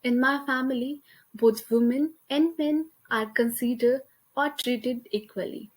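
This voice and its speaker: A young woman with an Indian accent, speaking English as her second language, presents a class talk over slides. Her voice sits a little high, and she reads carefully, restarting now and then on a word. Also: darkish tone. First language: Hindi. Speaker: student presenter. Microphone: computer mic, voice-over on slides.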